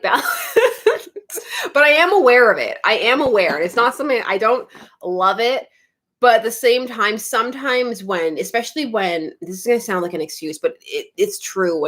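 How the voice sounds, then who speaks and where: A woman from Canada hosting a relaxed livestream from her home studio. She chats freely with her viewers, breaks into frequent giggles and wanders from one topic to the next in an easygoing way. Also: fairly low pitch. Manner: giggly and chatty, laughs often, drifts off topic. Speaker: YouTube livestream host. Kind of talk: casual livestream chat reading viewer comments.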